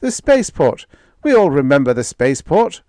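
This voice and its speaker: A man voicing a desperate starship crew leader who shouts and moans under strain in a science fiction story reading. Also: sits high, sing-song, slow pace, no room sound, studio quality, voice pushed up, full character voice. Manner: urgent, shouting and moaning.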